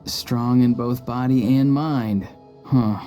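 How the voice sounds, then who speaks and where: A man's voice: mid-range voice, steady tone, slow pace, close to an everyday voice. A man voicing a quirky, sincere young man in a video game. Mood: musing. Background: background music.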